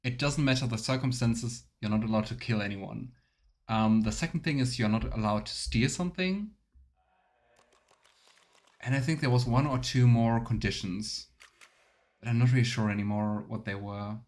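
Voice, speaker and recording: A man's voice: medium pitch, moderate pace, pauses often, darkish tone. A man streaming a game with casual, rambling commentary, musing and thinking aloud. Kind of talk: casual Let's Play commentary. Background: faint game music.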